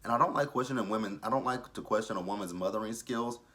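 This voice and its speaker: Blunt, forceful thirty-year-old man. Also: lowish voice, quick pace. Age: 30s. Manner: blunt, opinionated, swears freely. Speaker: TV show reviewer. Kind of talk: casual review vlog commentary.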